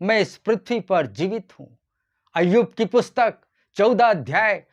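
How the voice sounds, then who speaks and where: A man preaching a short devotional sermon as a pastor, addressing his listeners in a deliberate manner. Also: high voice. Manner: deliberate, repeatedly addressing listeners as dear ones.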